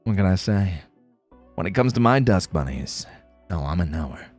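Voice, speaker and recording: A man's voice: low voice, big pitch swings, normal speed, a little mellow, faint music behind. A man in a playful, casual livestream chat, reading out viewer comments and replying in slangy speech. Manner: playful, reads chat aloud and replies.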